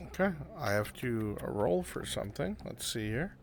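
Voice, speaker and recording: A man's voice: mid-range voice, very animated, normal speed, vocal fry, soft background music. A man acting as game master, narrating a live improvised roleplay game and giving players short directions. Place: voice chat in a virtual reality game.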